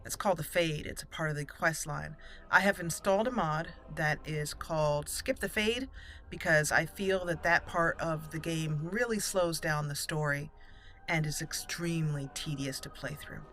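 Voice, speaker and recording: Bright-voiced woman doing game commentary. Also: low-pitched, moderate pace, soft background music. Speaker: gaming channel host. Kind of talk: roleplay let's play commentary.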